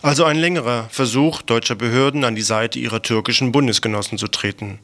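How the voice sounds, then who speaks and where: Gravelly man reading radio news. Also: medium pitch, steady tone, quick pace, fairly bright tone.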